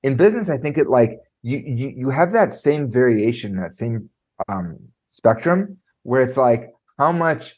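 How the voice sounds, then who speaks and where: A man coaching on presentation skills, talking in an animated way and filling his speech with "like" and "right?"; he has a trained high singing voice. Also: measured pace, muffled recording. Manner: animated, lots of fillers like "like" and "right?". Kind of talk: conversational podcast interview.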